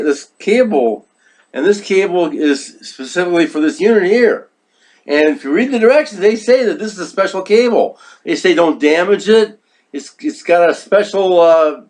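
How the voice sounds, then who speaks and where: A man with a notably high voice walks through a product demonstration in a casual, instructional way. He repeats himself for emphasis and keeps checking that the listener follows with little tag questions. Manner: repetitive, emphatic, checks in with "okay?" and "right?". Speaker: product reviewer.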